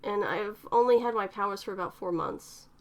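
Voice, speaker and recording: A woman's voice: medium pitch, moderate pace, natural voice. A woman voicing an emotional young woman who is new to her powers, prone to sweary outbursts. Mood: tearful. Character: young woman, newly powered, excitable and tearful.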